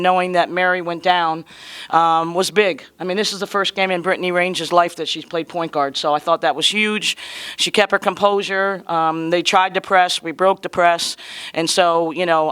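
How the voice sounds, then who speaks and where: A woman basketball coach giving earnest, conversational postgame answers, her voice slightly hoarse from a cold. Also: fairly low pitch, a bit bright. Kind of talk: postgame press conference.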